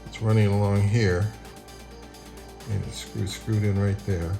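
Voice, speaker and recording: A man narrating a casual hands-on how-to, unhurried and thinking aloud as he works. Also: fairly low pitch, fairly even intonation, vocal fry, warm tone, soft background music. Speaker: repair tutorial presenter.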